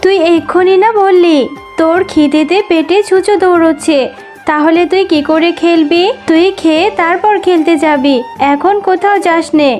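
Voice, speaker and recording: A woman's voice: very high voice, fairly even intonation, moderate pace, a little mellow. A woman voicing a tender, worried, coaxing mother in a children's cartoon. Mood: concerned. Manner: caring, coaxing. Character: tender, worried poor mother of young daughter. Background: music.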